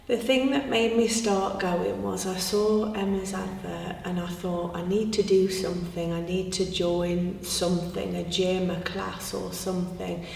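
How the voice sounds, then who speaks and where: Gravelly, flat-voiced woman in her fifties. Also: lowish voice, unhurried, hardly any pauses. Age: 50s. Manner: candid and self-deprecating. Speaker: class member giving a testimonial. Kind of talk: interview testimonial. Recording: echoey hall from far off, faint room noise.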